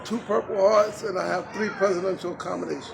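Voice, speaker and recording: A man's voice: high voice, steady tone, quick pace, gravelly, noisy background. A man in his sixties, a Marine veteran guest, speaking earnestly and giving advice in a casual interview outdoors. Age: 60s. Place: outdoors in a city.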